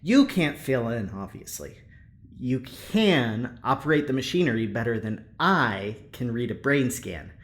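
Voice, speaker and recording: An actor plays a breezy young engineer in an audio drama. The mid-pitched voice swings up and down in a very animated way. The talk is casual, teasing and persuasive, stumbling a little and then bubbling over. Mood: coaxing. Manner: casual, teasing, halting with bursts of enthusiasm. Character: upbeat, cheeky Midwestern engineer, breezy and persuasive. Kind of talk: naturalistic audio drama acting.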